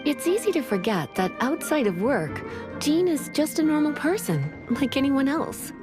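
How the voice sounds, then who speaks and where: Languid librarian, unhurried and soothing, animated pitch, game music behind. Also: female voice. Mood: easygoing. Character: relaxed woman librarian, languid and worldly. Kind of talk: video game voice acting.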